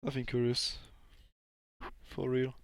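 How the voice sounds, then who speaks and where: A man's voice: mid-range voice, completely flat delivery, slow pace, dry recording, studio quality. Polish-accented man commentating a game. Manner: chatty, laughs often, hesitant second-language phrasing. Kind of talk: casual gameplay commentary.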